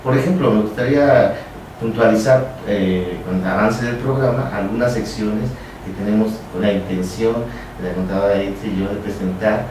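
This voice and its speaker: A man with a Mexican accent hosting a casual radio conversation, explaining things in a halting way and repeating his phrases. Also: fairly low pitch. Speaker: radio show host. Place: small radio studio, indoors. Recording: echoey room, distant, background noise.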